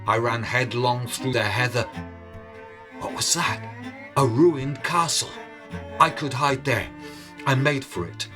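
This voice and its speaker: Male storyteller, deliberate slow pace, theatrical, suspenseful narration, faint instrumental music. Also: mid-range voice. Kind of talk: dramatic oral storytelling, scripted narration.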